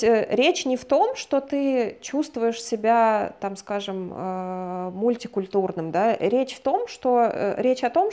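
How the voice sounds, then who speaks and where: A woman from Russia, a guest expert, explaining ideas in a measured, lecturing way and often hedging her words as she talks. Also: mid-range voice, mellow. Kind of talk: explanatory conversation.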